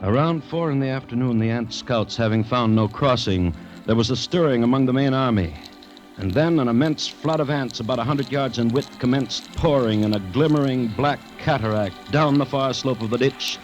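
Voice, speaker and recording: A man acting a sober, alarmed witness to a deadly menace, narrating gravely and deliberately in 1940s American radio drama style. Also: mid-range voice, natural voice. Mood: awed, ominous. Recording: old radio recording with music.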